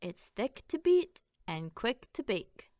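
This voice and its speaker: A woman reading a children's picture book aloud in an expressive, theatrical storytelling manner with emphatic phrasing. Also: a little high, slow, dry recording, studio quality.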